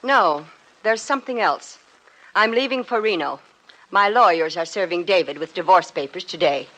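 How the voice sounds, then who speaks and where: An actress playing a cold, bitter, unforgiving wealthy wife in a 1950s radio drama. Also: female voice, fairly low pitch, animated, normal speed, background noise, close to an everyday voice. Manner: cold, clipped.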